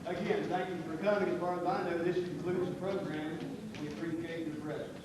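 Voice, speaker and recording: A man's voice: on the high side, little pitch movement, fairly quick, distant mic. A man giving informal closing remarks to an audience as host, recorded from afar in a noisy, echoey room. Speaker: program host.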